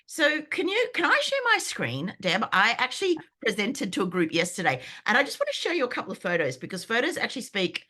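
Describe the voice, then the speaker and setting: A woman in her fifties, croaky from a sinus infection, chatting enthusiastically as a guest on a video call, with lots of fillers and restarts. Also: fairly high pitch, wildly swooping pitch, a little fast, few pauses, sharp tone. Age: 50s. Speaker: brand foundations coach. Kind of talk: guest on an online group call.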